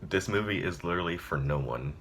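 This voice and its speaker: A man's voice: low-pitched, expressive, brisk, background noise. A young man casually reviewing a movie, chatty and exasperated, with lots of fillers and short laughs. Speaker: video reviewer.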